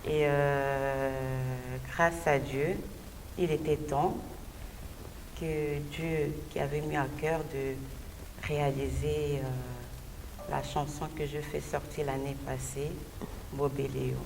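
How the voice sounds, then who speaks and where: Low, creaky woman in a noisy echoing hall. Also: flat delivery, slow pace. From Belgium. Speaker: gospel singer, interview guest. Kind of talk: personal testimony in an interview. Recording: distant mic, echoey hall, noisy background.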